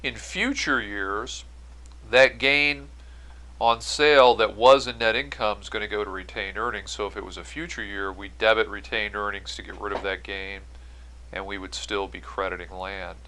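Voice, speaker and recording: A man's voice: fairly low pitch, fairly even intonation, normal speed, bright, forceful tone, low-quality recording. A man giving an explanatory accounting-style lecture as an instructor, going step by step and stressing key phrases. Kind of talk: explanatory lecture over on-screen notes.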